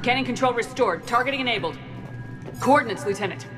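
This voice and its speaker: A man's voice: very high voice, expressive, fast talker, loud music behind the voice. An actor voicing a hardened male commander who speaks in short, decisive lines. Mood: focused. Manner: terse, clipped, decisive. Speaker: military commander.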